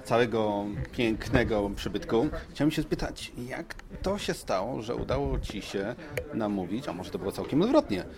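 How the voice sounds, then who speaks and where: A man's voice: mid-range voice, animated, moderate pace. Chatty male interviewer amid crowd chatter. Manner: chatty, informal, circling around questions. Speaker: podcast host interviewing guests at an event. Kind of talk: casual live interview. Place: bright cellar event space. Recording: clear crowd chatter behind.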